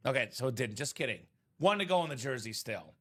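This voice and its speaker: A man in his thirties hosting a live sports card break stream, chatting casually and pitching to viewers by name. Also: expressive, fast talker, a bit bright, breathy edge. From Chicagoland area, born in Bulgaria. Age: 30s.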